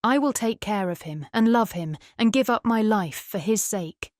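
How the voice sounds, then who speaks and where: A woman plays a young mermaid from a storybook, pitched up into a girlish register. She is tender, earnest and sorrowful, with a British accent. The recording is close and dry. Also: expressive, moderate pace, a little mellow, no room sound, lightly acted. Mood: tender devotion. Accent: British English. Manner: earnest, wistful. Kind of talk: dramatized fairy tale audiobook.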